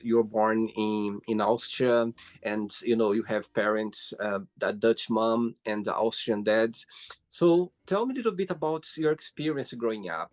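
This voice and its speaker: Friendly male host asking halting questions. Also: medium pitch, no echo. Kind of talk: casual interview over a call.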